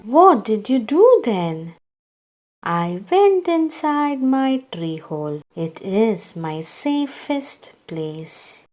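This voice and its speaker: A woman reading a children's story aloud, acting out its dialogue in a deliberate way meant for young listeners. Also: a little high, very animated, a little mellow, soft background music.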